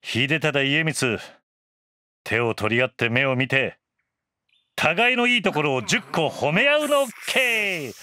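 A man's voice: a little high, animated, takes it slowly, pushed and bright, no echo, full character voice. A man playing an easygoing, authoritative middle-aged shogun in an anime voice drama, casual, commanding and wry.

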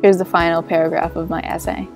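A teenage girl with a Texan accent who introduces her class project and then reads the closing paragraph of her war essay in a calm, even voice. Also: lowish voice, quick pace, soft background music. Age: teens. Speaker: student. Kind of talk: reading her own essay aloud.

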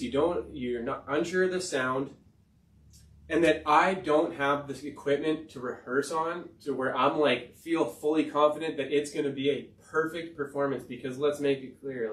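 A man with a high-pitched voice, talking in a rambling, profane and emphatic way on a casual podcast. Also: moderate pace. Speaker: podcast host and rapper. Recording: roomy sound from far off the mic.

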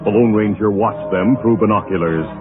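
A man's voice: lowish voice, a little fast. A man narrating a scripted adventure drama in a dramatic 1930s radio style. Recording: tinny old radio recording with music.

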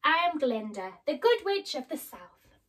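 A woman reading aloud as a cheerful, kind good witch with a soft, friendly voice. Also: high-pitched, very animated, a little slow, distant mic, voice pushed up, exaggerated voice. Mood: soothing.

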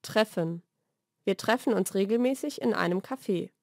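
A woman's voice: medium pitch, gravelly, no echo. Woman narrating vocabulary, very dry recording. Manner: measured, deliberate enunciation. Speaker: language lesson narrator. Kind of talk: scripted vocabulary narration, single words then example sentences. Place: dry studio voice-over recording.

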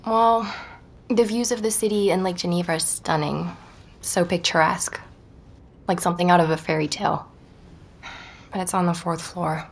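An actress playing an inquisitive, persuasive woman podcaster in an audio drama, narrating and chatting in a measured, natural way. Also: on the low side, fairly even intonation, creaky. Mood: thoughtful. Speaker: podcast narrator and lead character.

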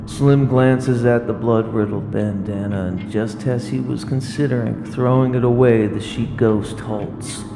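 Slow, gravelly male radio-play narrator. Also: medium pitch, fairly even intonation. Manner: theatrical, deliberate storytelling. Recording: roomy long reverb, music behind.

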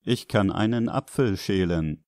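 A man's voice: on the low side, measured pace, darkish tone, dry recording, clean studio sound. A man reading short scripted sentences as the narrator of a language lesson. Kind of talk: scripted sentence translations read out.

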